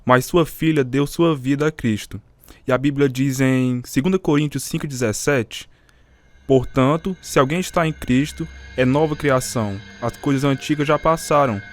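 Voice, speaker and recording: An actor playing a solemn, firm pastor in a Brazilian Portuguese radio drama, with a preaching manner. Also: male voice, mid-range voice, moderate pace, close to an everyday voice. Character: solemn, firm pastor defending a young couple.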